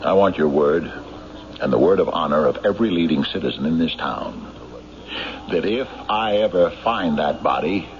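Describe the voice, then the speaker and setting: A man playing a stubborn, principled small-town sheriff in a radio drama, speaking in a terse, halting, dogged way. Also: low-pitched, lightly acted. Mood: resolute. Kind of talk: old-time radio drama acting. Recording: muffled radio broadcast with faint noise.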